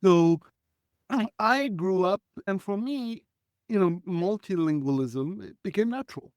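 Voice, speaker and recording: High-voiced, smooth man in his forties. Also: animated, a little slow, darkish tone, dry recording, clean studio sound. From Israel. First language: Hebrew. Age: 40s. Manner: earnest, reflective, clears throat often. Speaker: guest. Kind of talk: conversational life storytelling.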